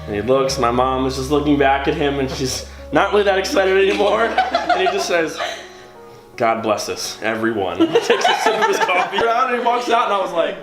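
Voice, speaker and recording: Animated, high-voiced American man telling stories. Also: fast talker, few pauses, over loud music. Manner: theatrical, emphatic, giggles while talking. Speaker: pastor telling a personal story. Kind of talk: animated comedic storytelling.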